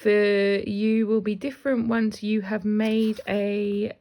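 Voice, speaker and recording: A woman with a slightly croaky voice gives a casual spiritual card reading, chatty and self-deprecating. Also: medium pitch, level tone, slow pace. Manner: chatty, warm asides, often laughs at herself. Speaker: intuitive card reader.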